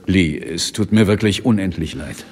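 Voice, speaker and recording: A man's voice: sits low, moderate pace, a little mellow, slightly rough, big-room sound. A man acting a determined police inspector, insolent but loyal, in a German radio drama. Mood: earnest, pleading.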